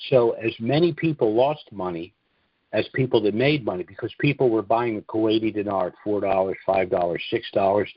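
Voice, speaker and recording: A man's voice: on the low side, fairly quick, dry recording. A man hosting an investor conference call, giving an informal monologue briefing in a plain-spoken, opinionated, explanatory manner. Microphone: conference call line. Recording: dull, lo-fi conference call audio.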